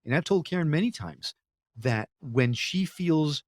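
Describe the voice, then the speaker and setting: A man's voice: a little high, expressive, a little slow, darkish tone, no room sound. A man from Connecticut hosting a podcast interview, enthusiastic and effusive, reacting emphatically to his guest. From Connecticut, USA. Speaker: podcast host.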